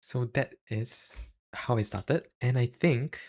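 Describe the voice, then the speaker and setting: A young man with a Singaporean accent chatting casually on a livestream, halting and self-deprecating, speaking into a cheap USB microphone. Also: medium pitch, a little mellow. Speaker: YouTuber and live streamer.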